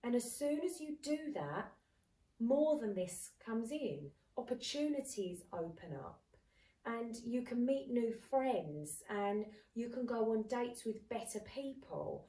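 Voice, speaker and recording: Animated woman co-host in an echoey room. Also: fairly high pitch, slow pace, far from the mic. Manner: animated, acts out quoted speech. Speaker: podcast co-host. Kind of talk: casual chat.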